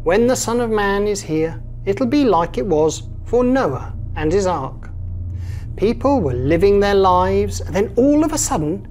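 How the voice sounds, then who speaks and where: Female children's story reader, warm mellow tone, measured delivery with lots of expression, faint ambient noise. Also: mid-range voice.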